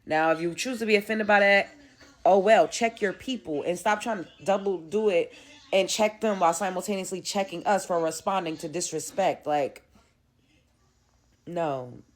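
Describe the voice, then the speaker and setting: A woman's voice: fairly low pitch, moderate pace. A woman, ranting bluntly and profanely as an online commentator and comedian. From Brooklyn, New York. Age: 30s. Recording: roomy echo.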